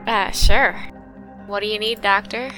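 A woman's voice: mid-range voice, steady tone, unhurried, sharp tone, low-quality recording. A woman playing a tabletop game, talking casually in and out of character. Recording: music playing behind the voice.

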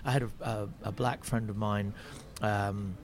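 A man's voice: lowish voice, fairly even intonation, measured pace. A man who is a stand-up comedian, chatting on a podcast, thinking aloud with many restarts. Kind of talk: casual podcast chat. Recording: noisy background.

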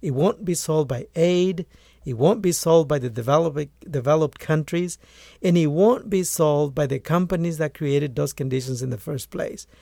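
Gravelly man from Guatemala. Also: a little high, normal speed, mellow, dry recording, studio quality. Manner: earnest, restarts phrases often. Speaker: poultry farmer and author. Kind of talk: conversational interview answers.

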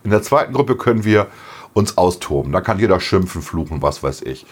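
Brief: middle-aged man, very low voice, a bit hoarse, easygoing podcast chatter with frequent laughs. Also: animated, fairly quick. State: slightly hoarse. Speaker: podcast co-host. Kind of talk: casual chat.

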